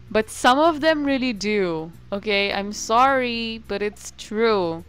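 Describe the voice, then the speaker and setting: A woman's voice: on the high side, expressive, slow, faint music behind. Giggly young woman ranting playfully. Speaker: podcast co-host. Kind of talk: casual chat.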